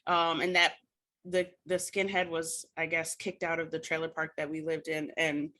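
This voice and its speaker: A woman's voice: low voice, flat delivery, moderate pace, sharp tone. An American woman speaking as a podcast guest, telling personal stories reflectively in an earnest, articulate way with proper diction. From United States. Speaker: podcast guest, literature scholar. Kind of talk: reflective personal storytelling in a conversational interview.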